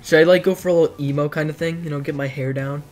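A teenage boy, hoarse from hours of streaming, chatting casually and rambling while reacting to his chat in a dim bedroom. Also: a little high, fairly even intonation, quick pace, a little mellow, a little hiss or hum.

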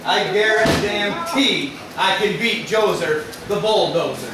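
A man's voice: high voice, animated, slow pace. A young man, a wrestler delivering a cocky, boastful live promo. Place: small indoor wrestling venue with audience. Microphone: far camera. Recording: very echoey room, far camera, loud noise.

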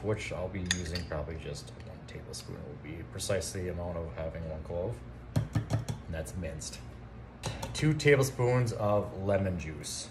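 Laid-back man, lowish voice, home kitchen. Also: noisy background. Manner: relaxed, talks while cooking, step by step. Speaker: cooking show co-host. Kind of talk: casual cooking demo. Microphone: phone camera at counter distance.

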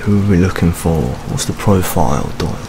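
A young man playing a jaded, world-weary British police detective in an ASMR roleplay, speaking in a hushed, deliberate manner. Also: low-pitched. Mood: wry, weary. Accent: British English. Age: 20s. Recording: steady rain ambience noise. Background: rain sounds.

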